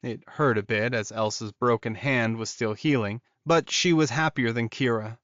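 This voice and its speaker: A man's voice: mid-range voice, moderate pace, fairly bright tone, no room sound. Male audiobook narrator, dry muffled sound. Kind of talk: scripted fiction narration.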